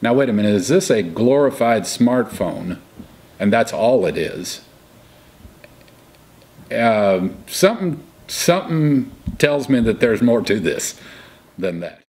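A man's voice: medium pitch, sing-song, takes it slowly, pauses often, a little mellow. A man in his fifties, a farmer and crop insurance agent from Missouri, thinking aloud in a casual indoor interview. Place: indoor room with curtains.

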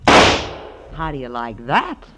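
A woman's voice: very deep voice, big pitch swings, slow, heavily acted. A woman playing a defiant, headstrong young heiress in an old radio drama. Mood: defiant, taunting. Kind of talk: 1950s radio drama acting. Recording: noisy old radio recording with clicks.

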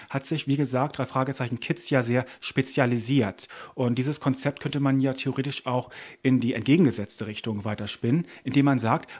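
A man's voice: medium pitch, darkish tone. A man giving articulate, opinionated criticism as co-host of an audio drama review show.

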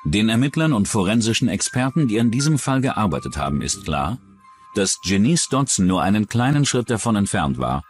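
A man narrating a scripted true-crime documentary in a measured, dramatic storytelling manner. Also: fairly low pitch, sing-song, faint music behind.

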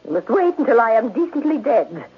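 A feisty, rich old aunt in her eighties in a vintage radio drama. Her voice is husky and very animated as she scolds and lectures. The broadcast sounds dull and lo-fi. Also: fairly high pitch, brisk, heavily acted. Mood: sharp, dismissive. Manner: opinionated, scolding, theatrical. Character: feisty, domineering 81-year-old wealthy aunt. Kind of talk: 1970s radio drama acting.

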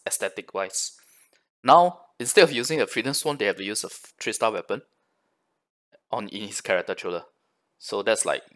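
A man's voice: lowish voice, gravelly, fairly bright tone. A man hosting a gaming reaction video, giving casual, analytical commentary in a conversational way.

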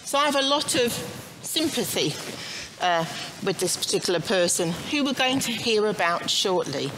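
A middle-aged woman leading an informal church service in a chatty, halting, self-deprecating way. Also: medium pitch, very animated. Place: church, standing before the altar. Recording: noticeable background noise, church ambience.

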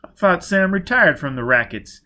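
A man's voice: sits high, animated, moderate pace, pushed and bright, voice pushed up, heavily acted. A man voicing a smug, avid underworld surgeon in an audiobook, persuasive and musing. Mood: inquisitive. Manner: smooth-talking, persuasive, musing. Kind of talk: audiobook character voice.

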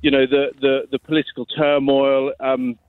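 A man's voice: mid-range voice, flat delivery, moderate pace, smooth, dry recording. A man calling a radio phone-in, talking earnestly and hesitantly, apologetic and often repeating himself. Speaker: phone-in caller. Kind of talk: casual, earnest phone-in conversation. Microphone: telephone. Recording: tinny narrow-band phone line.

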